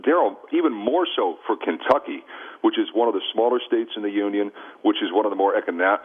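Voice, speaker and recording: Through a narrow, phone-like sound comes a low-voiced man with a husky rasp. He is a sports broadcaster chatting casually as a guest, making his points emphatically. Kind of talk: casual sports talk banter. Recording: muffled, phone-like narrow band.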